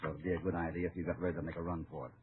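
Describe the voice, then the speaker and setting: A muffled old radio recording carries a man playing a steely American cowboy lawman. His voice is very low, and he speaks with grave, measured firmness. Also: little pitch movement, a little hiss or hum, natural voice. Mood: measured, guarded. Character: calm, steely cowboy lawman hero of a western. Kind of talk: 1950s American radio western acting.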